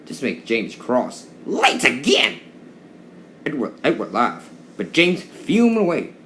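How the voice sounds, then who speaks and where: A man's voice: mid-range voice, huge pitch swings, unhurried, fairly bright tone. Guy doing a kids read along, stumbles n repeats words, very up and down voice, bit noisy. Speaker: storybook narrator. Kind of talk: children's read-along narration.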